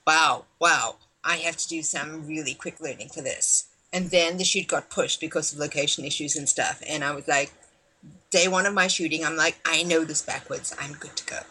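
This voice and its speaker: A woman with a South African accent chats as an actress guest, her voice bright and forward with a husky grain. She tells her story casually and jumps into the quoted lines with a lively, acted-out lilt. The pitch sits a touch low for a woman. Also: normal speed, low-quality recording. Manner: animated, acts out quoted dialogue.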